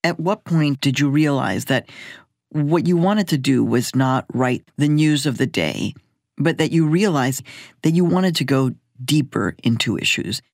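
A woman's voice: a bass voice, expressive, unhurried, a little mellow, no room sound, studio quality. A woman hosting a radio program, reading scripted narration and putting questions to a guest. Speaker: radio host.

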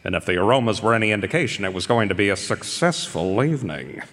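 A man's voice: on the low side, expressive, fairly bright tone. A man playing a self-assured private eye, narrating wryly in noir style in a live radio drama. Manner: wry, measured, storytelling. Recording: echoey concert hall with music.

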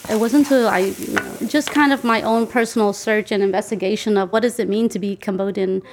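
A woman's voice: mid-range voice, fairly even intonation, a little fast, noisy background. A woman in her forties chatting casually in a kitchen as she cooks, laughing often and explaining each ingredient. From United States. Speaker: guest cooking in a recorded segment. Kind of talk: casual chat while cooking. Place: home kitchen.

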